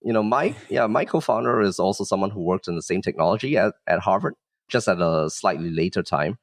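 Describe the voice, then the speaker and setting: A man's voice: low voice, expressive, brisk, no echo, studio quality. A man from Singapore explaining things casually and modestly as a podcast guest, with frequent conversational filler words. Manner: modest, explanatory, with frequent "like" and "you know" hedging. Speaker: startup founder, guest.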